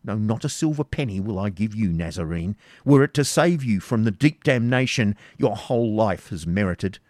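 A man's voice: high voice, moderate pace, darkish tone, dry recording, clean studio sound, pitched above the performer's own voice. A man voicing a frail, desperate old father who pleads passionately for his daughter. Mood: defiant. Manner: impassioned, imploring.

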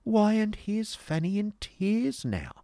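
A man's voice: on the low side, sing-song, a little slow, warm tone, dry recording, studio quality, voice pushed up. A man voicing a pert, vain young woman with an affected, mockingly polite manner in a novel reading. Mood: affected astonishment. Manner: affected, taunting, prim.

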